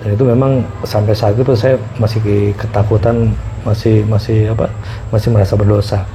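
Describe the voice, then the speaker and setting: A middle-aged man telling personal stories casually as a podcast guest, often pausing and repeating himself to find words. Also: fairly low pitch, little pitch movement, warm tone, faint music behind, poor audio. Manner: halting, repeats phrases as he searches for words. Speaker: podcast guest recounting past experiences.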